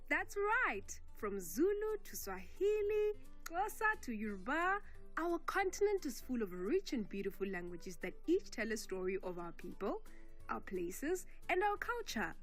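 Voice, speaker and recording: A South African woman presenting a children's radio segment in a bright, sing-song, scripted way, speaking to young listeners as friends. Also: medium pitch.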